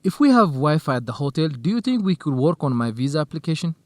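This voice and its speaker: A man's voice: on the high side, sing-song, fast talker, a little mellow, no room sound. An actor playing an earnest, grateful young Ethiopian male research assistant in an educational radio drama. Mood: helpful, calm.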